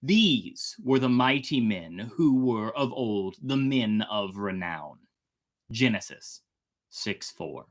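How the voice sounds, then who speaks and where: An expressive storytelling host talks casually to viewers. The voice sits at a middling pitch and swings widely up and down, with a slightly theatrical delivery. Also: slow pace. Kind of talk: casual livestream chat.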